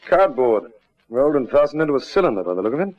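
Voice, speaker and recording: An actor playing a calm, commanding Australian detective sergeant in a classic radio detective drama. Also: male voice, mid-range voice, quick pace, natural voice. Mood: brisk, commanding. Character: calm, methodical, authoritative male police detective sergeant. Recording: muffled vintage radio drama recording.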